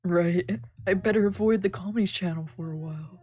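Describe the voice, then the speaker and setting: A woman's voice: on the low side, fairly quick, a little mellow, husky. A woman voicing a meek, polite injured male patient with hesitant manners in a visual novel read-aloud. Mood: awkward, pained. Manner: hesitant, deferential.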